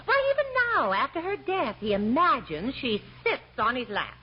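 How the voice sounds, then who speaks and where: A gullible, fluttery middle-aged wife from a vintage radio comedy. Her pitch swoops dramatically as she gushes and draws out exclamations. The recording is old and dull. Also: huge pitch swings, slight background noise. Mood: earnest, puzzled. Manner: gushing, theatrical, drawn-out exclamations. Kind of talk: 1940s radio comedy acting.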